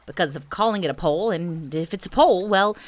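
A woman's voice: fairly low pitch, expressive, fast, dry recording, close to an everyday voice. A woman voicing a self-important, bossy male rabbit in a storybook, with a clipped, matter-of-fact manner. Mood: pondering. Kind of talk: storybook character voice.

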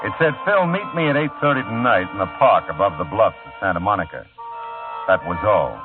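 An actor playing a world-weary, wisecracking private eye who narrates his case in an old radio drama. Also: fairly low pitch. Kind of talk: 1940s radio acting. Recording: tinny old radio broadcast with music.

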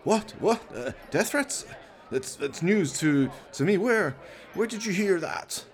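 A man's voice: sits high, expressive, slow, pitched above the performer's own voice. A man doing a stammering, smarmy politician in his sixties. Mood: flustered. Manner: hesitant, stammering, self-important speechifying.

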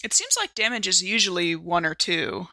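A woman's voice: lowish voice, big pitch swings, normal speed, pushed and bright. A woman playing a tabletop game, talking in casual table chat.

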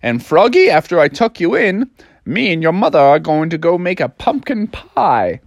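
A man's voice: fairly high pitch, sing-song, normal speed, vocal fry, dry recording, clean studio sound, full character voice. A man voicing a jovial, slightly pompous father frog with stately, formal phrasing in a children's story.

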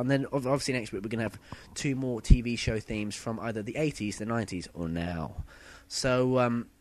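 Husky-throated man hosting a podcast. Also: medium pitch, no echo. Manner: chatty, loose and rambling, with fillers and self-corrections. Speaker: podcast host. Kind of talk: casual solo podcast chat.

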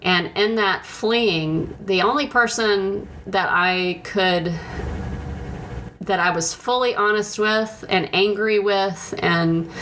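A woman telling a personal faith testimony in a reflective way, pausing often and restarting her sentences. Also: on the low side, sharp tone. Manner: slow, halting, with many pauses and restarts. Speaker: speaker sharing a personal testimony. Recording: noticeable background noise.

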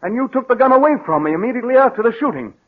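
A man's voice: very high-pitched, lively intonation, racing. A man acting a guilt-haunted, suspicious and insistent man in a 1940s radio drama. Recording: muffled vintage 1940s radio recording.

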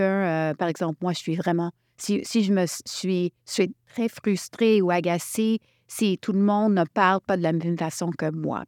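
A woman from Quebec leading a workshop, talking in an animated way and restarting her sentences often. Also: lowish voice, no room sound, studio quality. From Quebec, Canada. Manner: animated, thinks aloud with many restarts. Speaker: workshop facilitator. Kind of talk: workshop talk.

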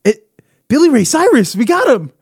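A man co-hosting a podcast in casual group chat, excitable and often rapidly repeating short phrases. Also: extremely high pitch, a crowd in the background. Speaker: podcast co-host.

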